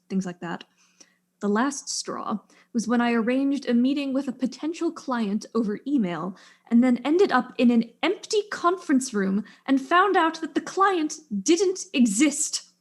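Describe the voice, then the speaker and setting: A woman's voice: a little high, close to an everyday voice. A woman playing a proud, weary widowed aerospace engineer, speaking with dry, exasperated formality in a stage-style mystery drama.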